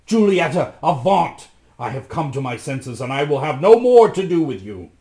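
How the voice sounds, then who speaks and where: A man voicing an impassioned, earnest young hero in a storybook reading, loud and declamatory. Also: a little high, sing-song, moderate pace, voice pushed up. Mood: defiant. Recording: echoey room.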